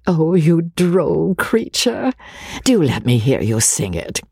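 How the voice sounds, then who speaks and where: Coy young woman, played by a male reader, raised pitch, gushing, sighing, affectedly sweet, flattering, storybook character. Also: takes it slowly, gravelly, husky, no room sound, full character voice.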